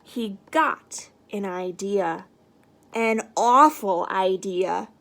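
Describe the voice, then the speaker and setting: A woman reading a storybook aloud to children, with deliberate, expressive, drawn-out emphasis. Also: mid-range voice, vocal fry. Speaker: storybook reader. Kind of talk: children's read-aloud, expressive scripted narration.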